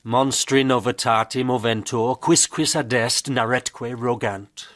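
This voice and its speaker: A man's voice: medium pitch, steady tone, a little slow, fairly bright tone, no room sound, lightly acted. Man as declaiming Georgian author, dry close sound. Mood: declaiming, formal. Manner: formal, declamatory. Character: scornful eighteenth-century male pamphlet author declaiming his work. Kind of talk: declaimed period reading in radio drama.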